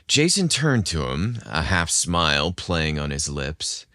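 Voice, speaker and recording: A man who hosts a podcast, narrating scripted stories in a measured, theatrical way. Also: a bass voice, very animated, bright, forceful tone, dry recording, clean studio sound.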